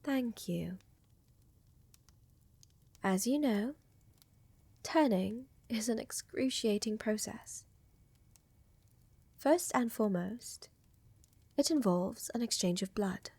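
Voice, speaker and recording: A woman playing a flirtatious, gently dominant vampire countess in an intimate ASMR roleplay, breathy and often whispering, with teasing, coaxing, formal wording. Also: on the high side, lively intonation, slow, pauses often, dry recording, full character voice. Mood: concerned, serious.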